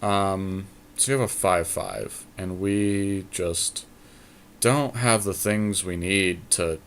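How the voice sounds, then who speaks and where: A man's voice: sits low, fairly even intonation, slow pace, a bit bright, slight background noise. A man thinking aloud as he gives casual commentary on a strategy game he is playing. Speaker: podcast host commentating a card game.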